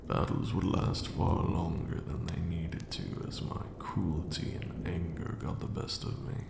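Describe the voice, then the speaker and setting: A man playing an ancient, brooding vampire lord in a slow ASMR roleplay monologue, hushed and grandiose, with measured archaic phrasing. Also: monotone, exaggerated voice. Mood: grim, brooding. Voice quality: very deep, gravelly, hushed. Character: ancient male vampire lord, brooding, cruel yet now weary. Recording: roomy echo, audible background noise.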